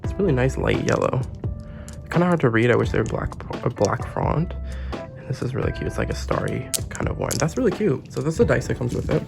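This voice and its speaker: Sick, gravelly-voiced man with a sore throat. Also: medium pitch, big pitch swings, brisk. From Canada. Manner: chatty, gushing over cute packaging. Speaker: trading card game YouTuber. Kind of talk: casual unboxing and deck review. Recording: music playing underneath.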